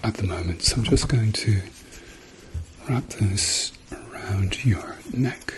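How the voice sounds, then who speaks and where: A man speaking softly to the listener as a doctor in an ASMR medical roleplay, murmuring reassuringly and often slipping into whispers. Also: lowish voice, slow. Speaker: ASMR performer playing a doctor.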